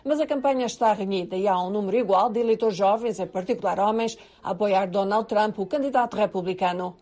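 A woman narrates a news report. She reads evenly and steadily at a mid pitch. Her delivery is measured and clear. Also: mellow.

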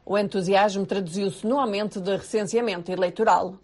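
A woman narrates a news report. She reads evenly and steadily at a mid pitch. Her delivery is measured and clear. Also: fairly even intonation, darkish tone.

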